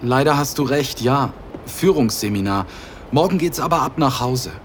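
A man's voice: medium pitch, animated, normal speed, lightly acted. Brief: male actor as a reserved businessman, hesitant and a bit awkward, ordinary mid voice, faint noise under the audio drama. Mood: polite, shy.

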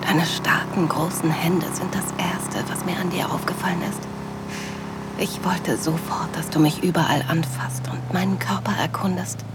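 A woman playing a loving, sensual middle-aged wife, narrating an intimate audio drama in a soft, dreamy manner. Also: on the low side, fairly even intonation, normal speed, in a whisper, over loud music, natural voice. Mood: tender, excited.

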